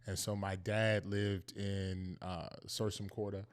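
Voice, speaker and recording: A man's voice: on the low side, little pitch movement, slow. A young man from Northern Virginia, a guest on a podcast, talking in an earnest, reflective way and often checking in with "you know what I mean.". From Northern Virginia, United States. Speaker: podcast guest, professional football player. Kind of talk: casual interview chat.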